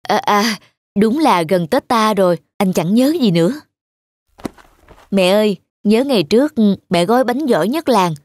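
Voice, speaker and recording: A man's voice: high voice, expressive, moderate pace. A man playing an affectionate father and devoted son in a cartoon, talking in an earnest, reflective way. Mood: fond. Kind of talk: animated cartoon dialogue and storytelling.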